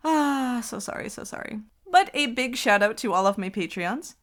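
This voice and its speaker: A woman talks casually to her audience as a channel creator. Her delivery is excitable and emphatic, in an informal, rambling outro style. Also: fairly high pitch, normal speed. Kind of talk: casual chatty outro to listeners.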